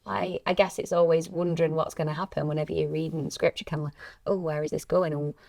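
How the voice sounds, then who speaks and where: A woman's voice: low-pitched, little pitch movement, fast talker, creaky, dark tone, no echo, studio quality. A woman chatting casually as an actress on a podcast, asking and answering questions. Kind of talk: casual chat.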